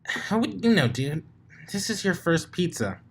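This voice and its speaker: A man's voice: on the high side, very animated, measured pace, a little hiss or hum, voice pushed up. A man voicing a brash, sporty female pegasus pony in a storybook reading, slangy and offhand.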